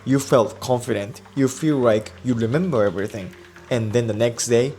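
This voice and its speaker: A man's voice: mid-range voice, moderate pace, darkish tone, slight background noise. A Japanese man, a native speaker with a Japanese accent, explains study tips in an earnest step-by-step video lesson. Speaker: Japanese teacher.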